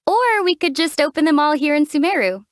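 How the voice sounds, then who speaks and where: A woman voicing a calm young female traveler hero who teases in a deadpan way, in studio game voice acting. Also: high-pitched, a little fast, heavy background noise. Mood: dry. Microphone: studio mic.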